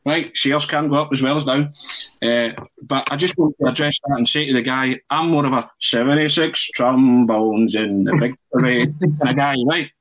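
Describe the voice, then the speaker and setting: A man's voice: medium pitch, moderate pace, a bit bright, low-quality recording. A man hosts a football podcast in casual chat. He is jokey and playful, telling stories and teasing his guests, and he laughs often. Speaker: podcast host.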